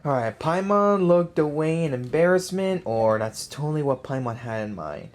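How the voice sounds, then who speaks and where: A woman's voice: deep voice, lively intonation, normal speed, darkish tone. A woman giving casual, joking, slangy let's play commentary as she reacts to a game. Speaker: gaming video host.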